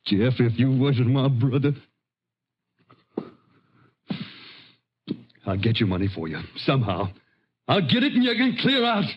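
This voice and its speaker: An actor playing a righteous, quick-tempered farmer with a rural Texan drawl in a vintage radio western. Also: male voice, medium pitch, sing-song, slightly rough. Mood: angry, pleading. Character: righteous, hard-working farmer, stern and quick-tempered brother. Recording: muffled vintage radio recording.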